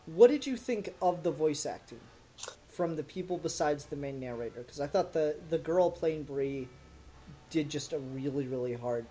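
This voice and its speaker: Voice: medium pitch, lively intonation, moderate pace, dark tone, a little hiss or hum. Exasperated, emphatic podcast reviewer. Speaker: podcast host reviewing an audio drama. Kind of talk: casual critical review chat.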